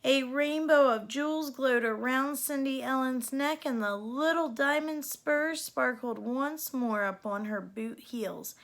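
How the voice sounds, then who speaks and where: A woman reading a children's story aloud as an expressive narrator. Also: high-pitched, a little slow, few pauses. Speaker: storybook reader.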